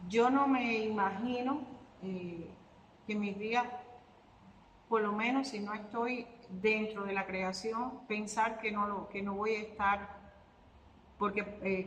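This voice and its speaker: Cuban woman artist, thoughtful and halting, recorded in a roomy hall with faint noise. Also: medium pitch, dull audio. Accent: Cuban Spanish. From Cuba. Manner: reflective, with hesitant fillers and restarts. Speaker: guitarist and artist interviewee. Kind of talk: conversational interview answers.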